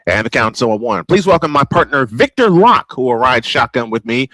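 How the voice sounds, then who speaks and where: Male baseball announcer, traditional play-by-play host style, medium pitch, dry studio sound. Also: very animated, moderate pace, a bit bright, no room sound. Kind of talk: old-school baseball play-by-play commentary.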